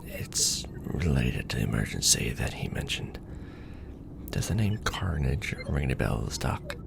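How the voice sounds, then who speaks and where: A man acting a wry, casual host of an alien symbiote in an ASMR roleplay, with a breathy, creaky voice. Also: a bass voice, sing-song, unhurried, noisy background, heavily acted. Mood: wry, intense. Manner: casual, wry, hesitant in explanations. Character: weary, joking young man bonded to an alien symbiote.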